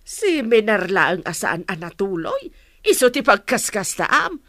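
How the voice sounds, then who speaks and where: A woman's voice: medium pitch, big pitch swings, a little slow, a bit bright, a little hiss or hum. An actress with a Filipino accent playing a suspicious, nagging older mother who questions and scolds, in a radio drama. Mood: probing. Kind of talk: Ilocano radio drama acting.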